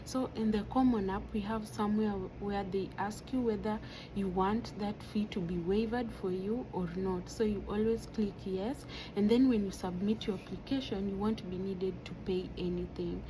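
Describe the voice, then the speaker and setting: A woman's voice: mid-range voice, normal speed. A young Kenyan woman with a Kenyan accent, casually explaining a process step by step, often dropping in "like" as a filler. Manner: informal, uses many "like" fillers. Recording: noisy background.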